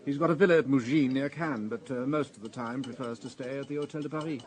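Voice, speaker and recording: An actor playing a reserved, curt gentleman under questioning in a radio drama, clipped and faintly reluctant. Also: medium pitch, fast talker, natural voice. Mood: guarded. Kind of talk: British radio drama acting.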